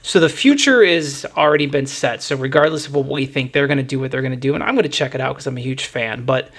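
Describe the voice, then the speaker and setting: A man's voice: medium pitch, quick pace, fairly bright tone. A man hosting a casual music discussion, talking in a rambling, opinionated way. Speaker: music podcast host.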